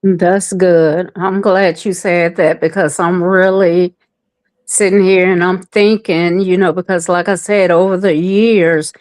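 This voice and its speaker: A woman's voice: lowish voice, dry recording. A woman taking part in a group discussion, sharing personal reflections in a conversational way. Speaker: participant in a group coaching discussion.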